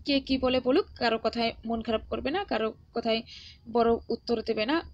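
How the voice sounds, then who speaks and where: A woman's voice: on the high side, moderate pace, highs cut off. A woman teaching an online exam class in an instructional way, often repeating phrases and adding short check-in questions. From India. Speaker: exam-prep history teacher. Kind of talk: online class, reading quiz questions and answers with encouraging advice.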